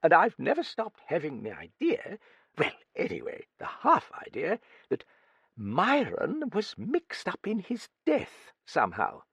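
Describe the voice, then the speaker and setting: A blunt, hearty old woman who swears without a second thought, her voice pitched up high and swinging wildly with a gravelly edge, as a male narrator puts her on. She blusters along, cheeky and gossipy. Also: wildly swooping pitch, measured pace, a bit bright, no room sound, exaggerated voice. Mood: bluff, gossipy. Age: older.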